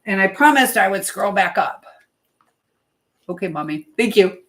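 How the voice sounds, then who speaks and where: Woman doing a casual livestream, kinda rambling and halting, talking straight to her viewers. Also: medium pitch, lively intonation, a bit bright. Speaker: livestream host.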